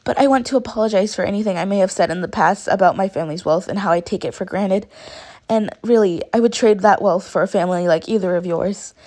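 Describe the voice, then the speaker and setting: A woman's voice: medium pitch, fast, dark tone, lightly acted. A woman voicing a polite, reserved rich teenage schoolgirl with a formal manner in a storybook reading. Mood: apologetic, wistful.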